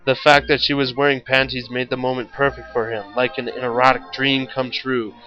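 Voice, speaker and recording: A man's voice: mid-range voice, level tone, normal speed, dull audio, soft background music. A man reading a story aloud in a theatrical, mock-serious way. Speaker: story reader, show host.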